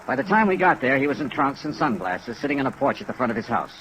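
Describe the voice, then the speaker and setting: A hard-boiled investigator from a 1950s radio drama, rattles along in a bright, forceful voice. He is clipped and businesslike, on an old recording with faint hiss. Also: mid-range voice, big pitch swings, fast, poor audio. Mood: probing, dry. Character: brisk hard-boiled male insurance investigator. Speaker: lead radio actor and narrator. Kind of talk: 1950s radio detective acting.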